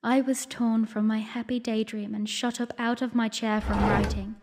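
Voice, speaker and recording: A woman playing a young princess who narrates her own story in a formal, reflective audio drama style, earnest and weary with a quiet defiance. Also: a little high, flat delivery, moderate pace, noisy background, natural voice. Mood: reflective, wistful. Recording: long reverb, music underneath. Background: music.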